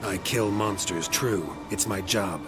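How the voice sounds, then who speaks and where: An actor playing a stoic, weathered monster hunter in a game, terse and deadpan. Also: male voice, deep voice, slow. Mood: calm, firm. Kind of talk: video game cutscene acting. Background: loud music.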